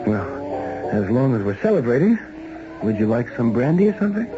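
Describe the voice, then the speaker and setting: An actor as a decent, plainspoken fellow in an old radio play, dry and slightly awkward. His voice is mid-pitched, on a dull recording with music clearly underneath. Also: very animated, fairly quick, natural voice. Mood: dry, resigned. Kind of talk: 1970s radio drama acting.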